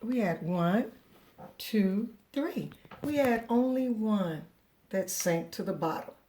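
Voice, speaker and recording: A woman's voice: mid-range voice, lively intonation, slow, mellow. A woman speaking as a teacher of young children, encouraging and patient, going step by step and posing questions to the listener. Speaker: preschool teacher. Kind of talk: children's lesson, asking and answering questions.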